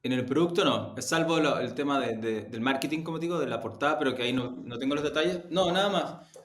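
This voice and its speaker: Deliberate man in an echoey room. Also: medium pitch, fairly bright tone. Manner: deliberate, halting, self-correcting. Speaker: interview guest, media executive. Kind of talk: reflective interview talk. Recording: roomy echo on the voice.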